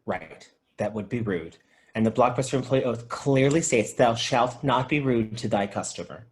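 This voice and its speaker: A man playing an excitable, idealistic and naive young video store clerk, earnest and dramatic, in a comedy table read. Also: medium pitch, moderate pace, slight background noise, lightly acted. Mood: worried.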